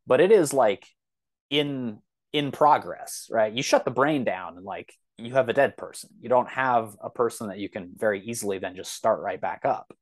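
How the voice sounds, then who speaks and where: A man in his thirties talking casually as a podcast guest, thinking aloud in a halting, thoughtful way. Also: on the low side, no echo.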